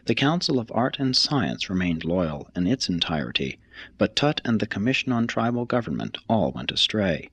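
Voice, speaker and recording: A man reading a religious text aloud as scripted narration, in a solemn, measured, formal manner. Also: on the low side, very animated, fairly bright tone, breathy edge, dry recording. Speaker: audiobook reader.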